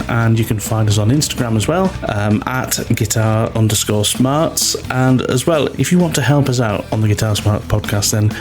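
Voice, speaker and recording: A man's voice: lowish voice, a little mellow. A British man talking casually as the host of a podcast.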